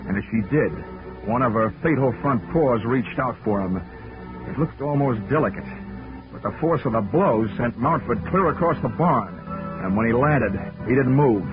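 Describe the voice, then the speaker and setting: A man's voice: medium pitch, close to an everyday voice. A man playing a wry, hard-boiled private investigator in sardonic 1940s radio style. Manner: sardonic, wisecracking. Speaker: narrating lead of a detective radio serial. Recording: tinny, band-limited 1940s radio recording with music.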